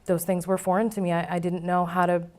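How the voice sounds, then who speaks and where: A woman's voice: lowish voice, little pitch movement, fast, a little mellow. A woman speaking candidly and conversationally as an interview guest, giving personal testimony. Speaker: interview guest, former client now board member.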